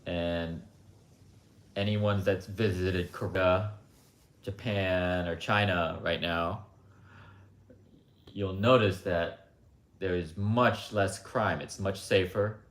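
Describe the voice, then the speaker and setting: A man's voice: sits low, fairly even intonation, slow, pauses often. A man hosting a livestream, talking in a blunt, rambling, opinionated way with lots of asides. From United States. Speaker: livestream host. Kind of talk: casual opinionated monologue. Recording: roomy echo.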